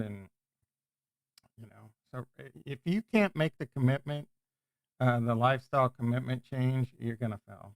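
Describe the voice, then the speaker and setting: Guy rambling on his stream, clear smooth voice, dead dry sound. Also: mid-range voice, dry recording. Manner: rambling, self-correcting, chatty. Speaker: streamer answering chat. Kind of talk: casual live-stream chat. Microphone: new desk microphone.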